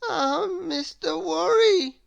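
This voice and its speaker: A woman's voice: extremely high pitch, expressive, creaky, no room sound, voice pushed up, exaggerated voice. A woman voicing an anxious, fretful little storybook man who worries plaintively about everything. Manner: worried, plaintive, drawn-out delivery.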